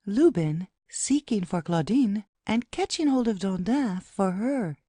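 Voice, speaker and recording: Mellow woman narrator, close dry sound. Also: medium pitch, lively intonation, measured pace, slightly rough, no room sound. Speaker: narrator reading scene headings and stage directions. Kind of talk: scripted narration.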